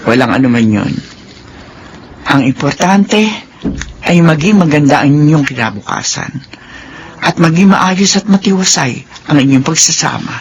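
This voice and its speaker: Older matriarch, firm, protective, very animated pitch, radio drama, noisy background. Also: female voice, moderate pace, long pauses. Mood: kindly. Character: older well-off matriarch employer, protective and firmly admonishing. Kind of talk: Filipino radio drama acting.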